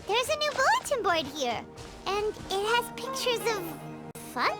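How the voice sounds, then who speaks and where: A woman playing a tiny, excitable childlike fairy girl companion in a video game, squeaky and expressive. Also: unhurried, exaggerated voice. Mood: curious. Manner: excitable, expressive, refers to herself by name. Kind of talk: video game character acting. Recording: music playing behind the voice. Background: game music.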